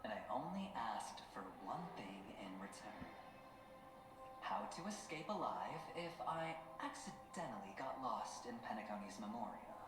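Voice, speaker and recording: A man's voice: medium pitch, very animated, lightly acted. Guy voicing smug theatrical game schemer man, swoopy delivery, echoey with music behind. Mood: smug, teasing. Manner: theatrical, teasing, deliberate storytelling. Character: smooth, showy male gambler-schemer, self-assured and theatrical. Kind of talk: video game voice acting.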